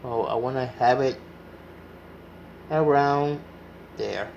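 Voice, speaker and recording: A man's voice: mid-range voice, slow pace, gravelly, darkish tone, highs cut off, a little hiss or hum. A man giving a casual, unscripted hands-on tutorial, explaining step by step and repeating himself as he goes. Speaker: hobbyist demonstrating CB radio repair.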